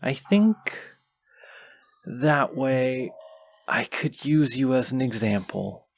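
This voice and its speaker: Man voicing a haughty, very slow-talking menacing dragon. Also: medium pitch, darkish tone, no room sound. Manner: slow, deliberate, pompous, savoring threats. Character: menacing, haughty wounded dragon guarding its hoard. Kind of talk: fantasy audio roleplay acting.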